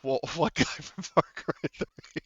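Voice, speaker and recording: A man's voice: a little high, slow pace, fairly bright tone, slightly rough, dry recording, dull audio. A man casually running a tabletop game as game master, explaining rules in a hedging, explanatory way.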